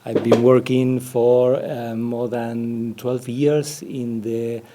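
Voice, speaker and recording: A man's voice: medium pitch, flat delivery, takes it slowly, noisy background. A man from Argentina with an Argentine Spanish accent, a business developer answering interview questions, often searching for words.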